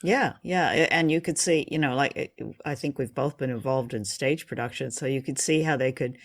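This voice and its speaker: A woman's voice: a bass voice, moderate pace. A woman podcast co-host in casual review chat.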